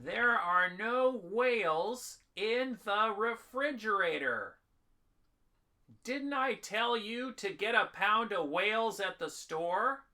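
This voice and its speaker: A man's voice: sits high, lively intonation, slow pace, pushed and bright, heavily acted. A man playing a cranky, indignant husband, pompous and blustering, in a comedy audio drama. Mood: querulous complaint.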